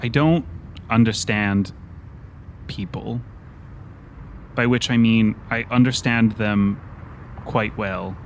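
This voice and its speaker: A man plays an analytical, socially clumsy man in a tabletop game. His voice is lowish, his phrasing stiff and deadpan, with faint noise underneath. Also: slow, pauses often. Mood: earnest, measured. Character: awkward, analytical, formally spoken man who probes people.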